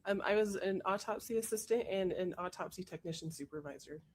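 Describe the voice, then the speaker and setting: Woman witness, hoarse voice from a cold, factual and careful, courtroom testimony. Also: mid-range voice, brisk, slight background noise. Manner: matter-of-fact, careful answers. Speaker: trial witness, forensic technician.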